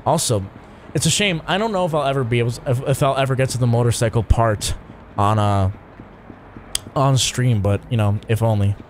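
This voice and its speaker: A man's voice: mid-range voice, brisk, slight background noise. A tired young man giving casual, rambling commentary while streaming a video game, swearing and using many fillers.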